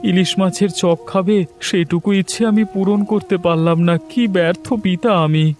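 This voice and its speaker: Devoted, guilt-ridden village dad for a cartoon, played by a man: high male voice, earnest, music underneath. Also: fairly even intonation, moderate pace, natural voice. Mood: sad, earnest. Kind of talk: Bengali cartoon voice acting.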